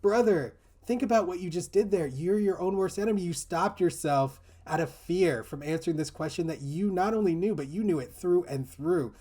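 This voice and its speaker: A man's voice: high voice, moderate pace, few pauses, dark tone, husky. A man talking in a casual, reflective discussion, explaining earnestly and often dropping in "you know" and "like". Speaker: teacher in a discussion group.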